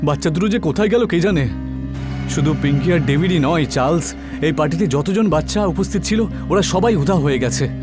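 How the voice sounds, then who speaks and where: An indulgent family father in a cartoon tale acted by a man. His voice sits a bit high, over faint music. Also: lively intonation, brisk, lightly acted. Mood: puzzled, worried. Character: indulgent father of a young girl. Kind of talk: animated story voice acting.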